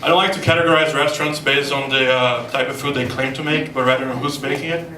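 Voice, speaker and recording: A young man from Brazil with a Brazilian Portuguese accent, telling deadpan one-liners in a stand-up set at a comedy club. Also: mid-range voice, quick pace, very noisy. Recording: roomy club, far mic, loud crowd.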